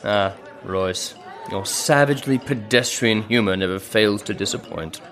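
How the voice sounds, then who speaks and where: An actor playing a pompous, arch gentleman spy with florid, mocking speech in an audio drama. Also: fairly low pitch, normal speed, soft background music.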